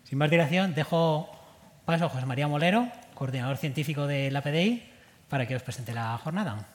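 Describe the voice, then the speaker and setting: A man's voice: on the high side, brisk. Man giving a formal welcome in a reverberant hall. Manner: formal, reading prepared remarks. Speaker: welcoming speaker at a medical seminar. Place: conference hall.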